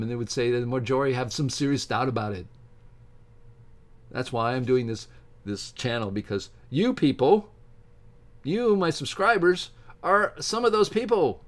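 A middle-aged man speaks at an average pitch. He hosts an informal commentary, moving between halting read-aloud passages and his own opinionated asides. He often restarts phrases and breaks off to explain what he thinks. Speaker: YouTube science channel host. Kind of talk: informal commentary while reading aloud from a book. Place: small home office with bookshelves, indoors.

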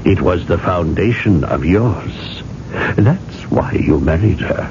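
A stern, all-knowing inquisitor in a radio drama, deep and creaky. He probes deliberately and relentlessly, with a lively swing in pitch, on a muffled old recording. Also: background noise, heavily acted. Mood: relentless, accusing. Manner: probing, deliberate, interrogating. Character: stern, knowing male interrogator, calmly relentless judge. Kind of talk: 1980s radio drama acting.

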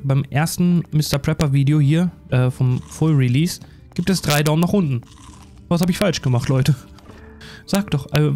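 A man's voice: fairly high pitch, warm tone. A man doing casual, rambling let's play commentary in a self-deprecating, chatty way. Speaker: gaming YouTuber.

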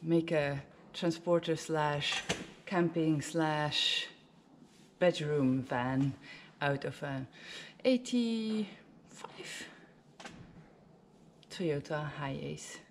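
A woman in her thirties giving a casual, halting demonstration to camera, as if searching for words. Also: sits low. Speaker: vlogger demonstrating a camper van setup. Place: inside and beside a van in a large metal shed. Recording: clearly noisy, clattery shed recording.

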